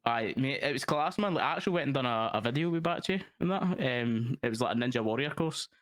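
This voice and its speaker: A man's voice: medium pitch, expressive, quick pace, a bit bright, no echo. Glaswegian man, casual and sweary. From Scotland. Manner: sweary, laughing, says "mate" a lot. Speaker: guest, roleplay streamer. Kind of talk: casual chat.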